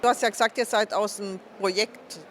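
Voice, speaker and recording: A woman's voice: medium pitch, fairly even intonation, fairly quick, a bit bright. A woman asking casual, informal questions as an interviewer. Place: busy exhibition hall at an art fair. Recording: faint exhibition hall noise.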